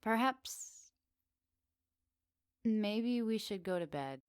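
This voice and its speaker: A woman playing a flirty, teasing witch in a soft, intimate ASMR roleplay. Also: mid-range voice, animated, clean tone, no echo, studio quality. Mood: calm, coaxing. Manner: soft, teasing, giggly, unhurried. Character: teasing, flirty, lonely witch in a woodland cottage.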